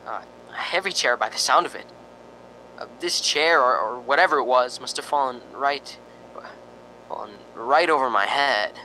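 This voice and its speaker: A man's voice: medium pitch, moderate pace, bright, forceful tone, a little hiss or hum, lightly acted, poor audio. A man playing a nervous, lonely radio reporter in a spooky radio drama, stammering and rambling with sighing ohs. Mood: uneasy, rambling. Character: jittery, lonely radio reporter broadcasting from a haunted house.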